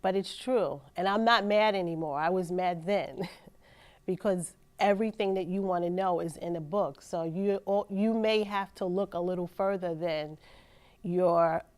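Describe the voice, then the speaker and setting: A woman's voice: lowish voice, measured pace. A woman, a community resources coordinator, speaking reflectively and encouragingly in an interview. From New York.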